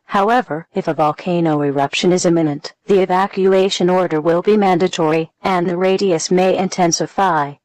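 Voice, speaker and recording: A woman reading a scripted emergency broadcast announcement in a formal, measured, impersonal manner. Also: on the low side, a little mellow, low-quality recording.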